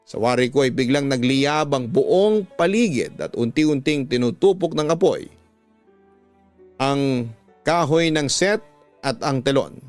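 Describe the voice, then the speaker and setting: A man with a Filipino accent reads a dramatic story as a radio storyteller, phrasing slowly and emotively. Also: fairly high pitch. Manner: slow, emotive, deliberate phrasing. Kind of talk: dramatic scripted story narration. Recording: music bed behind a dry studio voice.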